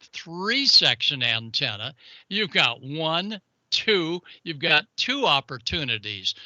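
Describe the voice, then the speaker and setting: A man's voice: a little high, pushed and bright, no room sound. A man presenting an informal technical explainer in a folksy way, with playful asides and exclamations. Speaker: amateur radio show segment presenter.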